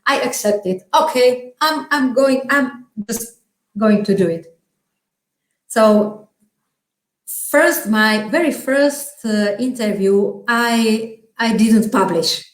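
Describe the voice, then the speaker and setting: Slow-talking woman with a Slovak accent. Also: fairly high pitch, echoey room, poor audio. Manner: halting, searching for words, frequent restarts and laughs. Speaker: interview guest. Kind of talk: casual interview chat.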